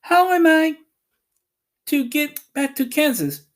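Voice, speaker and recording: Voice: high voice, sing-song, slow pace, pitched above the performer's own voice, exaggerated voice. A reader voicing an earnest, indignant little girl in a storybook, with a plaintive, emphatic delivery. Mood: pleading. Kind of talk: storybook character reading.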